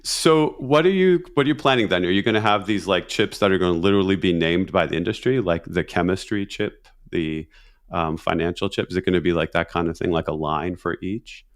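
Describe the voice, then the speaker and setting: A man hosting a podcast, asking questions in a relaxed, conversational way. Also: low voice, brisk. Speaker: podcast host. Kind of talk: casual podcast interview.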